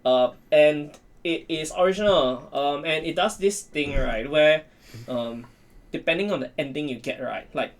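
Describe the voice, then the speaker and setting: A man's voice: a little high, unhurried. A man chatting casually as a podcast guest, rambling and repeating himself, often tagging his phrases with "right". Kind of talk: casual chat.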